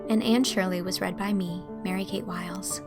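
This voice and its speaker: A woman's voice: on the low side, fairly even intonation, normal speed, loud music behind the voice. A woman narrator reading scripted literary fiction as an audiobook.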